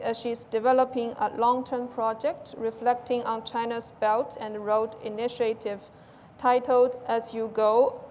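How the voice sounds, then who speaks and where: A woman moderating a webinar, introducing the next speaker in a halting way with uhs. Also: on the high side, a little mellow, big-room sound, a little hiss or hum.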